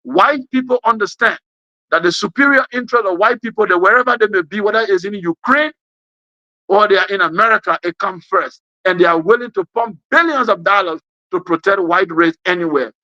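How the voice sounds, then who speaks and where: A man's voice: high-pitched, fairly quick, pushed and bright, dry recording, lo-fi sound. A man with a West African accent gives an impassioned political speech, emphatic and repeating phrases for effect. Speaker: speaker giving an address.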